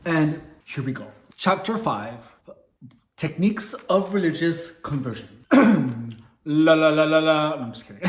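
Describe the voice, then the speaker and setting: A man with a heavy Mexican accent reading a book aloud slowly and stopping to puzzle over words, with casual swearing. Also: on the high side. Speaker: book reader and explainer. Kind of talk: casual read-along of a book. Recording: echoey room.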